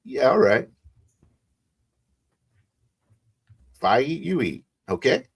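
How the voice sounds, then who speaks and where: A man's voice: mid-range voice, sing-song, moderate pace, gravelly, breathy edge, pitched above the performer's own voice, exaggerated voice. A man playing a blunt, casual vampire biker leader in a tabletop roleplaying game, drawling his orders. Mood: casual, commanding.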